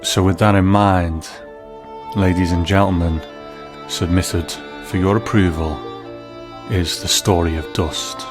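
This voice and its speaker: A man's voice: low-pitched, steady tone, unhurried, music in the background. A man hosting and narrating a scripted documentary in storytelling form. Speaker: host narrator.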